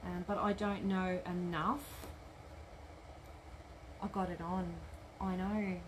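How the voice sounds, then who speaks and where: A woman's voice: on the low side, level tone, slow pace, noisy background. A woman hosting a live craft demonstration from home, chatting and muttering to herself as she sews and answering viewer comments. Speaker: craft shop owner demonstrating sewing. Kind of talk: live-streamed craft demo chat. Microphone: tablet streaming live.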